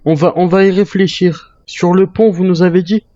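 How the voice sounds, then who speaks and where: A man's voice: high-pitched, steady tone, normal speed, dark tone, no room sound, muffled recording. A man chatting casually in character as a wary survivor while playing a zombie roleplay game.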